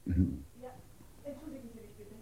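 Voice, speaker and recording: An actress playing a prim, officious secretary at a military station in a radio play, formal and dutiful. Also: female voice, a little high, moderate pace, mellow, close to an everyday voice. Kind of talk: German radio play acting.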